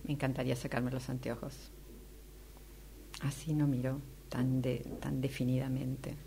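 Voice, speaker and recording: An Argentine woman speaks in a casual, confiding way. Her voice is extremely low for a woman, creaky and dark, with faint room noise behind it. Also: level tone, moderate pace. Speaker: radio drama workshop participant. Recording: faintly noisy room recording.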